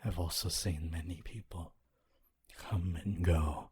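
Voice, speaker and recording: A man voicing a lonely, honorable ghost of a teacher in an ASMR roleplay, speaking in a hushed, breathy, halting and wistful way. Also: sits low, fairly even intonation, full character voice. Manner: slow, halting, wistful, intimate. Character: honorable lonely male ghost, former teacher, tender and regretful.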